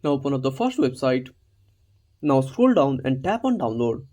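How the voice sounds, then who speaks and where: A man's voice: mid-range voice, very animated, moderate pace, dark tone. A man narrating a scripted step-by-step tutorial as its host.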